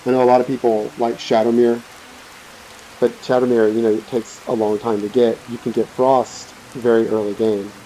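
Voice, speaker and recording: A man's voice: medium pitch, little pitch movement, normal speed, dark tone, a little hiss or hum, poor audio. A man giving casual, rambling, laid-back commentary as he hosts a let's play. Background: game music and rain.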